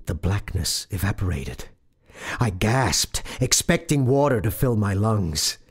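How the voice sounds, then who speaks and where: Gravelly male horror narrator. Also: lowish voice, big pitch swings, unhurried. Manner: tense, hushed, building to anguished cries. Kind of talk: dramatic horror audiobook narration. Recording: narration over eerie music.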